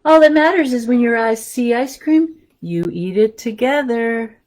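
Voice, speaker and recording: A woman's voice: a little high, animated, unhurried, darkish tone, slight background noise. A woman hosting a story time for young children, reading aloud and chatting casually in simple, encouraging language.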